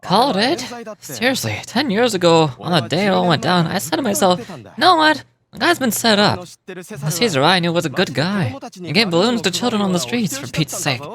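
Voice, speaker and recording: A woman's voice: fairly low pitch, very animated, normal speed, a crowd in the background, voice pushed down. A woman voicing an opinionated, gossipy townsperson from a fantasy game, telling stories emphatically in a conversational way. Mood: indignant, insistent. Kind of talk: video game dialogue read aloud.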